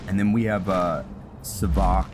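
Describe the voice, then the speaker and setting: A man giving casual game commentary as he plays, thinking aloud in a halting way. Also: deep voice. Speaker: gaming video host. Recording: loud game music behind the voice. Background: loud video game music.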